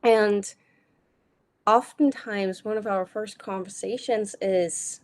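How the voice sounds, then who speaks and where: A woman's voice: medium pitch, takes it slowly. German-born woman with an American accent. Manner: energetic, direct, casual with occasional swearing. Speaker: business coach. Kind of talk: solo live video talk.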